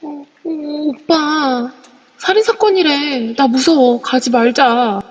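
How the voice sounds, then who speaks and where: A woman voicing a timid young female reporter who is easily frightened, speaking in a whimpering, drawn-out way. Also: high voice, highs cut off. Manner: whimpering, drawn-out fearful speech. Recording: long reverb on a voice chat app.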